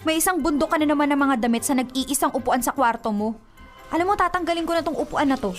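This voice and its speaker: A woman's voice: high-pitched, fast, soft background music, natural voice. A woman playing an exasperated, whiny young girlfriend in a radio drama, scolding with a Filipino accent.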